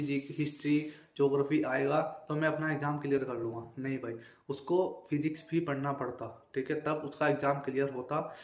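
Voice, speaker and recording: A young man giving an informal, emphatic exam analysis to viewers, often repeating himself and adding check-in tags. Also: a little high. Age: young adult. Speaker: exam-prep video creator and exam candidate. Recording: echoey untreated room.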